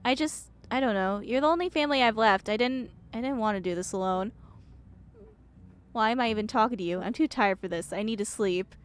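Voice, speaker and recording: A woman's voice: fairly high pitch, fairly quick, clear, resonant tone, lightly acted. A woman voicing a curt, weary, guarded woman from a story game, terse and dismissive. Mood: weary, resigned.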